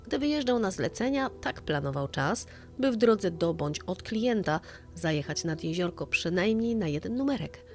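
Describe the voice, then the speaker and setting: A woman's voice: medium pitch, animated, normal speed. A woman reading a scripted true-crime story as a dramatic narrator. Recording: music bed under the voice. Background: background music.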